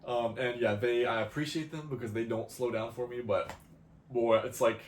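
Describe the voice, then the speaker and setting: A man's voice: medium pitch, steady tone, moderate pace. A young man co-hosting a podcast, easygoing and agreeable in casual chat. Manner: easygoing, agreeable, laughs often. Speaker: podcast co-host. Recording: roomy echo, faint ambient noise.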